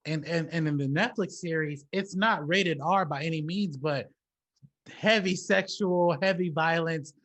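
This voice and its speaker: Guy hosting a movie chat show, kinda high voice, slangy, sweary, repeats words a lot. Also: unhurried, a bit bright. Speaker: talk show host. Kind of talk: casual movie commentary talk.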